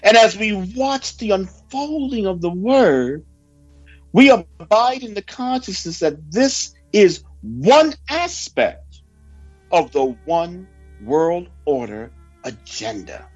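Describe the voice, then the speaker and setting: A man preaching and reading out a report in a solemn, emphatic oratorical manner with dramatic pauses. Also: high voice, very animated, slightly rough. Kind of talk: sermon mixed with read-out news report. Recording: dull lo-fi recording with background music.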